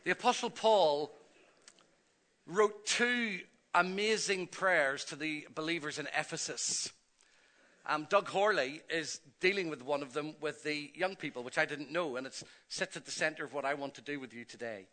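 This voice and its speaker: A man's voice: on the high side, moderate pace. A middle-aged man with a Northern Irish accent, a pastor telling his story chattily on a church stage. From Northern Ireland. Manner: chatty, self-deprecating, animated. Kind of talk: stage interview and preaching.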